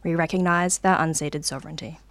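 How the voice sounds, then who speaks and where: A woman's voice: sits low, fairly even intonation, a little fast. A woman reading a scripted radio station announcement of acknowledgement.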